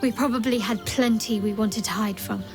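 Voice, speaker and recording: A woman's voice: a little high, steady tone, moderate pace, music in the background, lightly acted. A woman playing a guarded young cleric in a video game, talking in a wry, reflective way. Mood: wry reflection. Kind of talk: naturalistic video game character acting.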